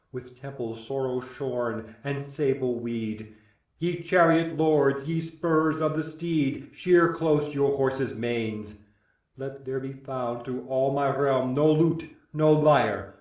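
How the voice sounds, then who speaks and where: A solemn widowed king played by a man declaims classical verse at a slow, stately pace. He sounds commanding and bereaved, a little way from the microphone in an echoing room. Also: mid-range voice, darkish tone, natural voice. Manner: declamatory, formal. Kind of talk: classical verse drama reading. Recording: roomy echo, distant microphone.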